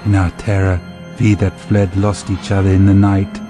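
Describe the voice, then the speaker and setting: A man playing a weary soldier confessing to God in despair, a solemn, measured monologue for an audio drama. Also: low-pitched, level tone, close to an everyday voice. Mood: haunted, despairing. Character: weary, haunted male soldier praying in despair. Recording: music behind the monologue.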